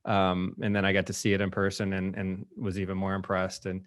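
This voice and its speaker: Low-pitched male podcast host. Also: completely flat delivery, a little fast. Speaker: podcast host, magazine editor. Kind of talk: casual conversational interviewing.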